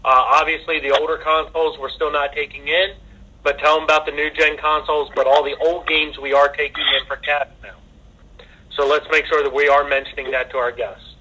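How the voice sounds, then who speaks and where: A man's voice: fairly high pitch, flat delivery, fairly quick, sharp tone, breathy edge. A man giving an informal work briefing as a manager, heard over a tinny conference phone line. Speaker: retail manager leading a staff conference call.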